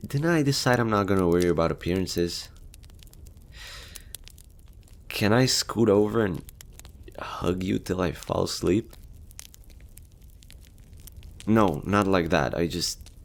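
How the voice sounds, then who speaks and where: Guy acting lonely easygoing post-apocalypse survivor dude, deep voice, talks casual n candid, bit needy, audio roleplay. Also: creaky, heavily acted. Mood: shy, tender.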